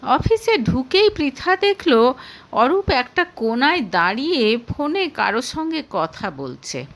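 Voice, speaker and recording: A woman reading a scripted romantic drama story aloud as an expressive narrator. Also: high voice, slow pace.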